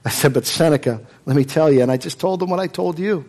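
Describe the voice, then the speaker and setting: Man playing a sincere, humble narrator in a dramatic monologue; quiet, halting, slightly high voice. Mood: reflective. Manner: earnest, soft-spoken, halting storytelling. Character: earnest, humble man recounting his conversion story. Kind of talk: first-person dramatic monologue.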